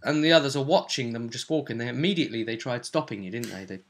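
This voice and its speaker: A man's voice: mid-range voice, animated, fast talker. A man giving a casual, rambling recap of a tabletop role-playing session as the game master.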